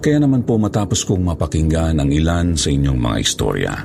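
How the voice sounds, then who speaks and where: Man, horror story narration, very low pitch, gravelly husky tone, music behind. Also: lively intonation, a little fast. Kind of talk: scripted first-person horror story narration.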